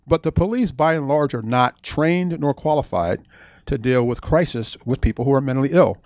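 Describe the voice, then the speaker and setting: A man's voice: mid-range voice, normal speed, darkish tone, no room sound. A middle-aged man speaking earnestly at a book event as the author, explaining his points with asides and restarts. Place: bookstore event space.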